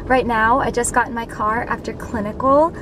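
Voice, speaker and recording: A young woman with an American accent chats casually in a fairly high voice. She sounds upbeat and informal, like someone vlogging for friends. The mic sits away from her in a car, with loud road noise all around. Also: steady tone, moderate pace. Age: young adult. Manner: chatty, upbeat, informal with frequent "like". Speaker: nursing student vlogger. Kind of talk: casual chat to camera. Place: inside a car, driving. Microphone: mounted camera at arm's length. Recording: noisy car, mic at a distance.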